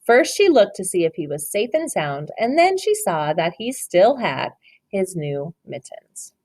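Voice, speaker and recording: Brief: woman teacher, read-aloud to a kid, animated pitch, gentle, encouraging. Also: measured pace. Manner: gentle, encouraging, dramatic storytelling. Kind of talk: children's read-aloud with questions.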